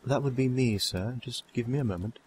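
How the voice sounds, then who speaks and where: A man's voice: mid-range voice, brisk, a little mellow, husky, lightly acted. A man playing a polite, slightly bemused tavern keeper in a fantasy audio drama, businesslike and a little hesitant.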